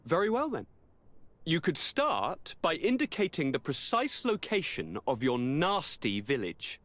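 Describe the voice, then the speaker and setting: Animated, lordly young ruler. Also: male voice, a little high, measured pace, no echo, full character voice. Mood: wry, commanding. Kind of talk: video game cutscene acting.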